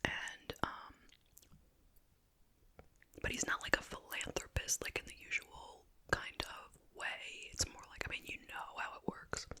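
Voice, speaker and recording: An ASMR host speaking entirely in a breathy, unvoiced whisper, chatting casually and conversationally with little asides. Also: measured pace. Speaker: ASMR video creator and host. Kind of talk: whispered ASMR chit chat.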